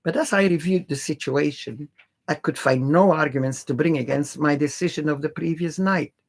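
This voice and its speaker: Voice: medium pitch, normal speed, creaky. A reader narrating a classic adventure novel aloud in the first person.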